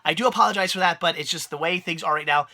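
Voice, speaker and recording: A man's voice: a little high, rapid-fire, no echo, clean studio sound. A man in his thirties with a cold, sounding congested and nasal, rambling cheerfully in a casual vlog at a busy mall arcade. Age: 30s. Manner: rambling, upbeat, repeats words. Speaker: vlogger. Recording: loud crowd and noise behind.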